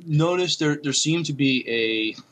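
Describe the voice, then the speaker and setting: A man's voice: a little high, measured pace, a bit bright, poor audio. A man co-hosting a podcast in casual chat, hesitantly repeating words as he searches for the next. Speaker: podcast co-host.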